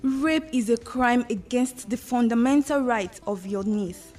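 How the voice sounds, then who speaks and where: A high-pitched, commanding Nigerian madam, played by an actress in a radio drama. She is compassionate and emphatic, with formal phrasing. Faint music sits behind her. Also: unhurried, darkish tone. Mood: firm. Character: commanding, compassionate well-off madam, quick to take charge.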